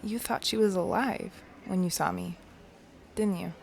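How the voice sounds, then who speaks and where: A woman's voice: medium pitch, a little slow, vocal fry, a little hiss or hum. An actress playing a troubled, guilt-ridden young woman, speaking in a subdued, hesitant way in an audio drama. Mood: subdued, wry. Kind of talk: naturalistic dramatic dialogue.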